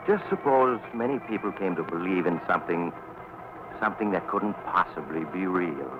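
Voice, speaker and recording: Creepy teasing radio storyteller, really deep, swooping voice, music behind, muffled old broadcast. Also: male voice. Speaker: host and narrator. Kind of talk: 1940s radio suspense narration.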